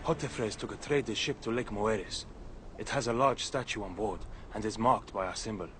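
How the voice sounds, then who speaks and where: A man plays a game informant with a low voice. He is guarded, hushed and factual. Slight ambient noise lies behind him. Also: moderate pace, lightly acted. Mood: guarded, serious. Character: guarded informant aiding a secret search. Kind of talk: video game cinematic acting.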